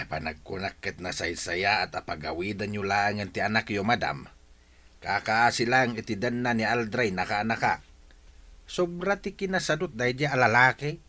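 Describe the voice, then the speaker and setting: A man playing a gossipy, sympathetic neighbour, chatty and expressive, in an audio drama. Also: on the low side. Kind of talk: Ilocano radio-style audio drama acting.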